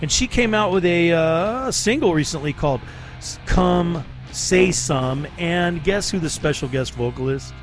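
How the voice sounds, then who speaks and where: A man hosts a late-night radio show in an easygoing, rambling way, full of fillers and quick laughs. His voice sits a little high for a man, and soft music plays faintly underneath. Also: animated, gravelly. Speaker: radio DJ host. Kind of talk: casual radio banter.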